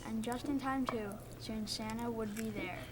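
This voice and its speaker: Very high-voiced woman reading a story. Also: steady tone, normal speed, crowd noise. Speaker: co-reader of a storybook. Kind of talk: children's storybook read aloud. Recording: music playing behind the voice.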